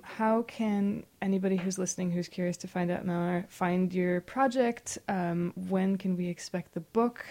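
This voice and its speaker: Mellow-voiced woman hosting a radio interview. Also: mid-range voice. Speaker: radio show host.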